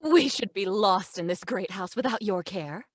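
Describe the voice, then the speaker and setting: A woman's voice: mid-range voice, lively intonation, normal speed, slightly rough, dry recording, studio quality. A woman playing a refined, easily flustered mother in an old-time radio drama, with a fluttery manner. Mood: genteel, pleased. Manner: genteel, fluttery. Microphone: studio mic at home, video call.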